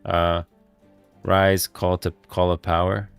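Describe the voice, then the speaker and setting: A man's voice: low-pitched, monotone, slow pace, creaky, smooth, no echo, soft background music. A man hosting a livestream, talking casually about game lore and rambling as he thinks aloud. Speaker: livestream host.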